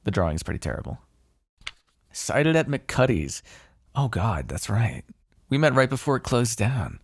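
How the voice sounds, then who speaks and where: A man's voice: fairly low pitch, gravelly, no room sound, studio quality, full character voice. A man playing a smug, teasing, grudgingly fond vampire in an intimate ASMR roleplay, talking in a sardonic, conversational way. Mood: smug, amused.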